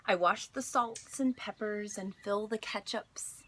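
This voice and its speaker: A woman teacher reading a children's story aloud to students, expressive and deliberate, with dramatic pauses. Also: mid-range voice.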